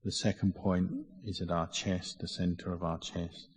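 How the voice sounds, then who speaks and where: A man teaching guided meditation with measured, deliberate phrasing and frequent pauses. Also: sits low, little pitch movement, slightly rough. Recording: long hall reverb.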